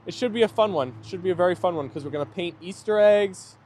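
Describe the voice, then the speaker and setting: A man's voice: sits high, fast talker, a little mellow, a little hiss or hum. Chatty man hosting a live stream. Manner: friendly, chatty, thanks viewers often. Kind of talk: casual live chat reading viewer comments.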